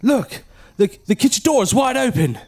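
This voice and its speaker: An actor playing an excitable, cocky young brother who spooks easily, in an audio drama. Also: male voice, extremely high pitch, normal speed, full character voice. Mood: agitated.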